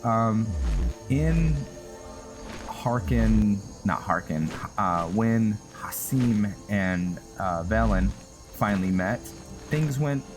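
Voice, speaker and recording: A man giving unscripted game commentary, thinking aloud in a halting way. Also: fairly low pitch, animated, rough recording. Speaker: gaming video host, player. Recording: game music behind voice. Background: game music.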